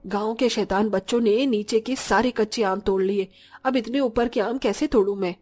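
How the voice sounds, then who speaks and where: A woman's voice: mid-range voice, moderate pace, a little mellow, close to an everyday voice. A woman playing a middle-aged village mother-in-law in a moral story, plain-spoken and given to complaining. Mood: worried, grumbling. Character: grumbling short village mother-in-law, slowly softening. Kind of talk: scripted Hindi moral story voice acting.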